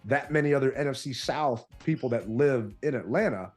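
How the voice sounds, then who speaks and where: A man co-hosting a sports podcast, telling stories in an animated, casual way, his voice a bit strained from yelling. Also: medium pitch, fairly quick, faint music behind. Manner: animated storytelling. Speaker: podcast co-host.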